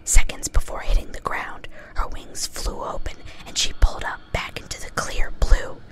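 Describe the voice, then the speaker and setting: A woman reading a story aloud in an ASMR style. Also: moderate pace. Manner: casually swears at own slips. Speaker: reader.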